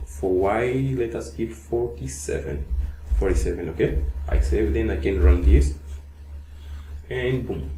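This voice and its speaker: A man's voice: fairly low pitch, mellow. A man whose first language is Portuguese, walking through a coding tutorial step by step, checking in with "okay?" after nearly every step. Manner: narrates each step, frequent "okay?" check-ins and "uh" fillers. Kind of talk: screen-recorded coding tutorial. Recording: echoey room with faint hiss.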